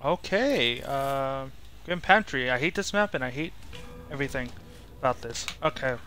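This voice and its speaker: A man's voice: medium pitch, animated, normal speed, bright, forceful tone, faint music behind. A man doing casual live gameplay commentary as a streamer, rambling and thinking aloud. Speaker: video game streamer.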